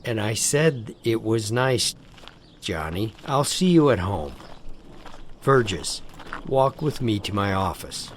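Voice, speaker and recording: A stern military general and distant father in an audio drama, acted by a man. His voice is low and unhurried, with a commanding air and faint noise behind it. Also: sing-song.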